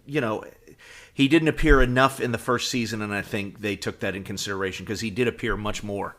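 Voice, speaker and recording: A man's voice: lowish voice, fairly even intonation, brisk, a bit bright. A man co-hosting a podcast, chatting casually and a little hesitantly as he explains. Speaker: podcast co-host. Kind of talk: casual chat.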